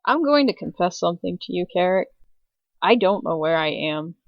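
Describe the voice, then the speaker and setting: A man's voice: high voice, lively intonation, normal speed, gravelly, no room sound. A man playing a conscience-troubled wanderer in a tabletop game, hesitant and reflective, on slightly dull audio. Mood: troubled, confessional. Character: uncertain, conscience-troubled wanderer far from home.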